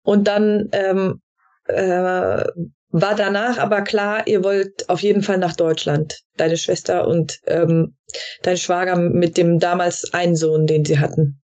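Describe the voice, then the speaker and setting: A woman hosting a conversational podcast interview, phrasing her questions in a searching, halting way with trailing tags. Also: lowish voice, level tone, a little mellow, highs cut off. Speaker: podcast host interviewing a guest.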